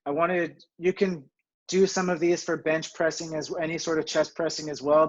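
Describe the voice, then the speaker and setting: Man, fairly high voice, steady and near monotone, chatty webinar teacher, muffled lo-fi audio. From New Jersey, USA. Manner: chatty, self-deprecating, rambling with fillers. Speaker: physical therapy webinar presenter. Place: at home.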